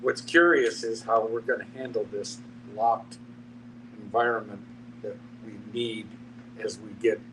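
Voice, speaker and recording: A man thinking aloud in a measured, reflective way during a panel discussion. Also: on the low side, a bit bright. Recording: distant mic with clear background noise.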